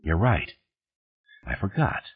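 A man's voice: deep voice, very animated, slow pace, husky, dry recording, narrow, tinny audio, exaggerated voice. A man voicing a troubled, defensive man who speaks tersely and pleadingly in an audiobook. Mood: flustered. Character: troubled, defensive man confiding his fears.

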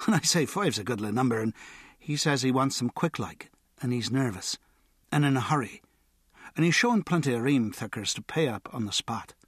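A jittery, servile male informant from an audiobook, pitched up high and swooping all over as he pleads and fawns, as a man puts him on. The voice carries a husky, breathy edge. The sound is dry and close. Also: expressive, normal speed, dry recording. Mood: eager. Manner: pleading, servile, higher put-on voice. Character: nervous sweating male street informant, wheedling.

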